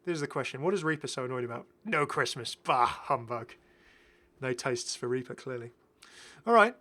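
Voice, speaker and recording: A man's voice: mid-range voice, lively intonation, moderate pace, bright, forceful tone. A British man giving casual, enthusiastic, rambling commentary as the host of a gaming video. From Britain. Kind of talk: casual commentary over game footage.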